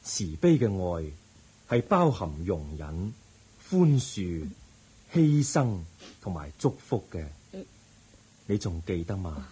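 A husky, solemn Cantonese Buddha. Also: male voice, low-pitched, very animated, warm tone, full character voice, low-quality recording. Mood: solemn, compassionate. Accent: Hong Kong Cantonese. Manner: solemn, measured preaching. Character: calm, compassionate male Buddha counselling an aggrieved believer. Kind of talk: Cantonese Buddhist radio drama acting.

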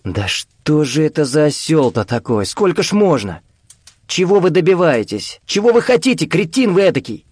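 A man voicing a frantic, exasperated searcher in an audiobook, with urgent, loud and indignant delivery. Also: lowish voice, animated, brisk, few pauses, no echo.

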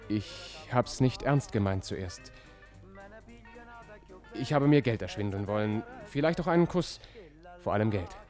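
A roguish, charming drifter in a radio drama. His voice is low and swings widely in pitch, seductive and intimate as he courts a traveller. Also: expressive, measured pace, pauses often, a little mellow, faint music behind. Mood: urgent, intimate. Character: charming roguish local drifter wooing a traveller, by turns urgent. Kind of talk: German radio play acting.

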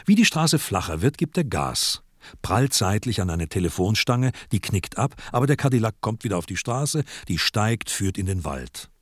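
A man narrating a radio play from a script in a dramatic style, with clipped, deliberate phrasing. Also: on the low side, slightly rough, no room sound, studio quality.

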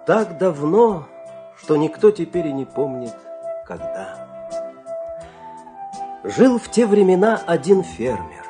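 Expressive male fairy-tale storyteller. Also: on the high side, music in the background. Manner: theatrical, expressive storytelling with dramatic pauses. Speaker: storyteller, narrator. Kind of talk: scripted fairy-tale narration for an audio play.

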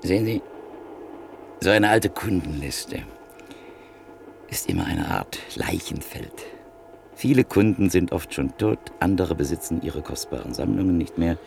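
A weary middle-aged art dealer in an old radio play. His voice is very deep and gravelly, and he speaks in a measured, reflective storytelling manner over a faint hiss. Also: big pitch swings, heavily acted. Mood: thoughtful. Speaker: antique print dealer. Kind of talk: classic radio drama acting.

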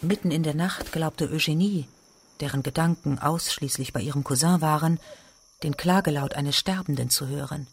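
A man's voice: a little high, moderate pace, vocal fry, a little hiss or hum. A man reading scripted literary narration in a radio drama.

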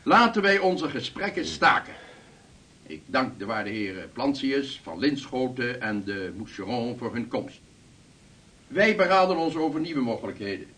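A man playing a formal, measured statesman closing a meeting. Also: mid-range voice, sing-song, pushed and bright, a little hiss or hum. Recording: voice from a distance.

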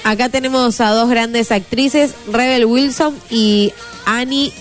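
A woman's voice: fairly high pitch, fairly even intonation, slow, faint music behind. A woman co-hosting a radio show, chatting and reading entertainment news. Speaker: radio co-host. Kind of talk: casual radio chat, reading news items.

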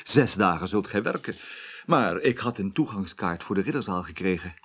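An actor playing a formal, sanctimonious Calvinist party official in a Dutch radio drama. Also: male voice, sits low, lively intonation, moderate pace, breathy edge, heavily acted. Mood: reproving. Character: pious, formal male Calvinist party staff member.

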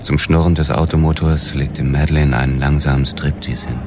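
Very deep-voiced noir detective narrator. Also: male voice, fairly even intonation, moderate pace, background noise. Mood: brooding, laconic. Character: male police detective narrating his own story, world-weary and brooding. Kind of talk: noir radio drama narration.

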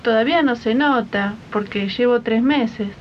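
Woman acting timid argentine housemaid, high plaintive voice, hesitant, dull old radio drama recording. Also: normal speed, a little hiss or hum, natural voice. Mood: worried. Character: timid, worried pregnant live-in maid. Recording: muffled, rumbly 1980s archive radio recording.

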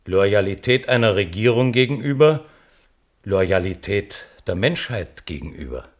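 A man playing a courteous, searching chairman of an inquiry in a German radio play, formal and deliberate. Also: fairly low pitch, animated. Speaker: chairman of the hearing.

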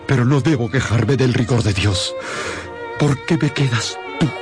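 An actor playing an impoverished old gallant in a radio drama, lamenting theatrically and possessively. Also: male voice, medium pitch, unhurried, lightly acted. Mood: lamenting, devoted. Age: older. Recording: loud violin music behind.

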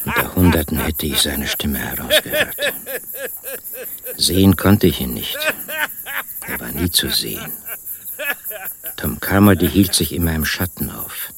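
An actor playing a brooding country man who narrates an eerie radio play, deliberate and hushed, often sinking toward a whisper. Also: a bass voice, wildly swooping pitch, a crowd in the background, heavily acted. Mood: brooding, tense. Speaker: first-person narrator.

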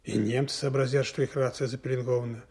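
A man's voice: medium pitch, level tone, fast, a little mellow, breathy edge. An actor playing a worried, stubborn lieutenant colonel in a Soviet radio drama, weighing his words as he presses his doubts. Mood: anxious, insistent. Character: worried, stubborn male army lieutenant colonel, questioning superiors.

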